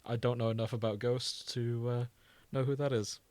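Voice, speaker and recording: A man's voice: mid-range voice, flat delivery, moderate pace, a little mellow, no echo. A British man co-hosting a podcast in casual comedic chat, irreverent, rambling and sweary. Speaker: podcast co-host.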